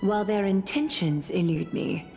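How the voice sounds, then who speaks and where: A woman's voice: medium pitch, creaky, dark tone, close to an everyday voice. Woman as a composed, stern noble lady. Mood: composed, commanding. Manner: formal, measured, stern. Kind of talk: anime-style video game voice acting. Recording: background game music.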